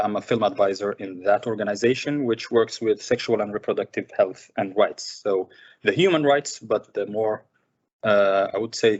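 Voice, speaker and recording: A filmmaker who grew up in Sudan, speaking thoughtfully at a seminar with many fillers and repeated words. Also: male voice, fairly low pitch, husky. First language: Arabic. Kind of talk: reflective personal talk. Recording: muffled lo-fi recording.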